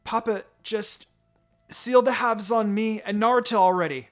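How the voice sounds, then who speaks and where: A brave little girl, acted by a male reader speaks in a raised, high voice over music. She is anxious and pleading, protective of her family, in a dramatic storybook style. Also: steady tone, unhurried. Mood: urgent, pleading. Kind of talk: dramatic fan fiction reading. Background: background music.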